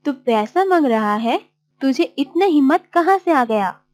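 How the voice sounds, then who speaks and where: A woman's voice: sits high, animated, a little slow, a little mellow. A woman voicing a stingy, bossy village aunt in a children's cartoon, haughty and scolding. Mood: scornful, scolding. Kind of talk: children's cartoon story voice acting.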